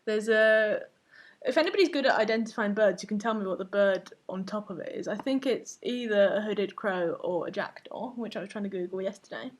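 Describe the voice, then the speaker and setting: A woman's voice: medium pitch, a little fast. A woman hosting a live painting stream, chatting casually as she works, laughing often and sometimes losing her thread. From Finnish family. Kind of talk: casual chat while painting.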